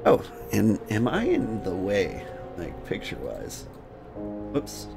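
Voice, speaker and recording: A man hosting a game livestream, switching between jokey, rambling chat and reading game text aloud in a gravelly voice. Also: fairly low pitch, huge pitch swings, takes it slowly. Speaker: game streamer and host. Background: music.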